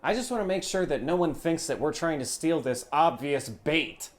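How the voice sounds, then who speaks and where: A man's voice: fairly high pitch, lively intonation, normal speed. A man playing a tabletop game, talking casually through plans and speaking for his character. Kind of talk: casual in-game planning talk.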